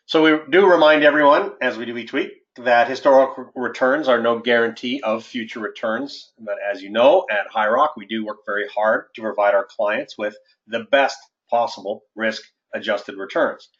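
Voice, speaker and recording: A man, an investment adviser hosting a webinar and presenting market commentary in a formal, measured way. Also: medium pitch, a bit bright. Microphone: conference call line. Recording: dull, lo-fi conference-call audio.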